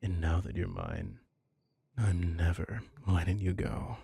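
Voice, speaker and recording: A man playing a doting, possessive young mafia heir in an intimate ASMR roleplay, husky and breathy, coaxing tenderly and teasingly. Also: deep voice, very animated, a little slow, dark tone, full character voice. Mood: devoted, possessive.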